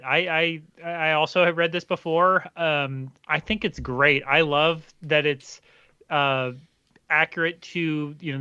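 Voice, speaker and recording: A man's voice: a little high, sharp tone, clean tone, no room sound, lo-fi sound. A man co-hosting a podcast, eagerly giving his opinion with fillers and restarts. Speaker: podcast co-host. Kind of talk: casual podcast chat.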